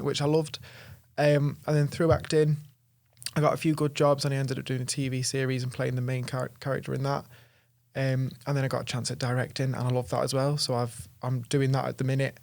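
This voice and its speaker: The chatty young guy on a podcast sofa, rattling along with a gravelly fry, self-deprecating, recorded close and dry. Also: mid-range voice, no echo. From North Wales and Chester, England. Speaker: podcast guest, actor. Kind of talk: casual chat.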